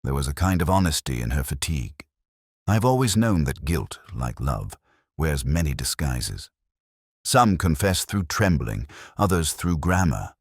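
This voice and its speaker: An extremely deep-voiced, melancholy detective played by a man narrates his own mystery story. His measured, literary delivery rises and falls widely as he broods. The sound is close and dry. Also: sing-song, husky, no echo, heavily acted. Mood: brooding, reflective. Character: melancholy, reflective male police detective telling his story. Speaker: narrator in first person.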